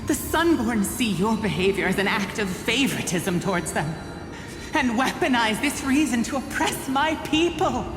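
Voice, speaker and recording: Defiant game priestess, played by a woman: formal, declamatory, unhurried, long reverb with music behind. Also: a little high, animated, close to an everyday voice. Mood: defiant, accusing. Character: proud, defiant priestess of an oppressed people. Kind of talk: solemn game voice acting. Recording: cavernous echo, music behind.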